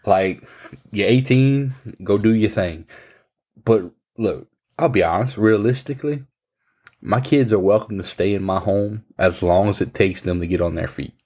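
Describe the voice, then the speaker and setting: Man, 30s, very low voice, frank, casual, blunt advice. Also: moderate pace, darkish tone. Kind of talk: casual chat.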